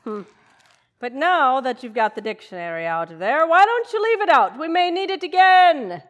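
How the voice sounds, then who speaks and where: A high, very animated female voice acted by a woman plays a long-suffering wife in an old-time radio comedy. She is firm and dry, tolerant with a scolding edge. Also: moderate pace. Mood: patient. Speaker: actor in community theater radio play.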